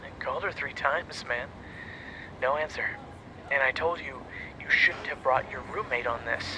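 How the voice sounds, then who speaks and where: A man's voice: mid-range voice, moderate pace, close to an everyday voice. A man playing a blunt, exasperated stakeout partner of an assassin in an audio drama. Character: exasperated male stakeout lookout talking to his assassin partner. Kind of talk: audio roleplay drama acting. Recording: noisy outdoor city traffic ambience.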